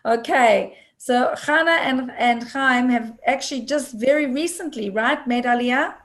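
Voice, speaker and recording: A woman hosting a casual online interview, friendly and quick to laugh. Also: a little high. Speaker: host.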